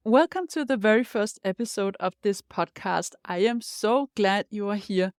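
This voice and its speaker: A woman's voice: mid-range voice, normal speed. Danish-accented woman, upbeat podcast host. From Denmark. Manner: upbeat, encouraging, direct address to listener. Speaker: podcast host, life coach. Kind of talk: scripted podcast monologue.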